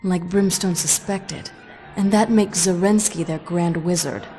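An actress playing a cocky half-vampire huntress in a video game, with a sultry, sarcastic, flippant manner. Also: female voice, fairly low pitch, fairly even intonation, unhurried, dark tone, lightly acted. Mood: sardonic, flirtatious. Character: sardonic, cocky half-vampire woman hunter. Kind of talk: video game cutscene acting. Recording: game audio with music behind.

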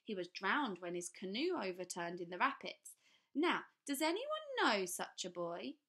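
A woman reading a storybook, voicing a tall, condescending Englishman who speaks slowly as though to small children. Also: medium pitch, very animated, bright, forceful tone. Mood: patronizing. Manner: slow, patronizing, oily. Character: pompous tall middle-aged Englishman, condescending.

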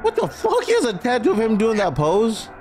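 A young man giving casual, excitable, sweary reaction commentary on a film, close on a studio mic. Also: very high voice, a little fast. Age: young adult. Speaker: reaction video host. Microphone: close studio mic on boom arm. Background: film music.

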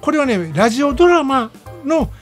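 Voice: high voice, very animated, moderate pace. A radio host with a Kansai Japanese accent, chatting casually through a movie review in an explanatory, conversational way. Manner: conversational, explanatory, frequent "ne" tags. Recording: music playing behind the voice. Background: background music.

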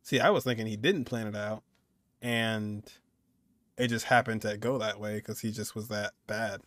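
A man's voice: lowish voice, steady tone, normal speed, a bit bright. A man in his twenties giving casual, musing reaction commentary as a game streamer. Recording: game music behind the voice.

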